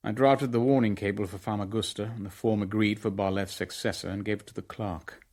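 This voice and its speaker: An actor playing a guarded middle-aged businessman who narrates his own spy story in a radio drama, in a measured, careful manner. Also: on the low side, steady tone, darkish tone. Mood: reflective narration. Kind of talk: radio drama dialogue and first-person narration.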